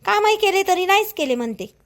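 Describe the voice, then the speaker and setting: A woman's voice: very high voice, animated, a little fast. A woman voicing an anxious, aggrieved young village wife in an amateur cartoon, pleading and whining in a Vidarbha Marathi accent. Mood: aggrieved, protesting. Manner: pleading, whining, repetitive protest. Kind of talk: amateur cartoon dialogue in rural dialect.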